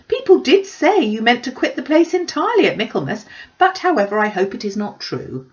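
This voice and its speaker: A woman's voice: a little high, lo-fi sound, voice pushed up. A woman voicing a gushing, fussy middle-aged mother who chatters pushily and complains with emphasis in an audiobook. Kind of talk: audiobook character voice.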